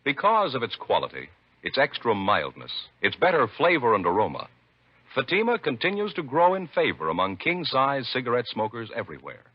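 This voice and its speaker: A man's voice: medium pitch, wildly swooping pitch, brisk, breathy edge, no echo. A man announcing on 1950s radio, reading scripted copy with emphatic, punchy phrasing. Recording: dull 1950s radio recording, music.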